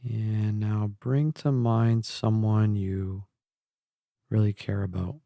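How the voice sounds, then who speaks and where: A man guiding a meditation in a calm, gentle, measured way, leaving long pauses between phrases. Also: lowish voice, vocal fry, dry recording. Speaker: guided meditation instructor. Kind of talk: slow guided meditation narration. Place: close, dry voice-only recording.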